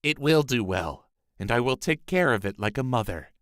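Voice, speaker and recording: A man playing an imperious sorceress with swooping pitch. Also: lively intonation, moderate pace, fairly bright tone, dry recording, studio quality, natural voice. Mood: stern bargaining. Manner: imperious, threatening. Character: angry, menacing, powerful female sorceress, commanding and bargaining. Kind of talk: fairy tale storybook character reading.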